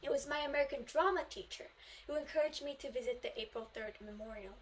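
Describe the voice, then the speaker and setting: A woman's voice: sits high, fast talker, a little hiss or hum. A Korean teenage girl, a native Korean speaker, delivering an earnest memorized speech in English at a student contest, stressing key phrases. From South Korea. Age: teens.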